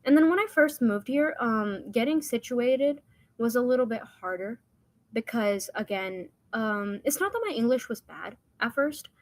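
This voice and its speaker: A woman's voice: a little high, dry recording. Young woman, native Hindi speaker, casual and dry-sounding. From India and the United States. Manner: informal, rambling with frequent "like". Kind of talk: casual personal storytelling.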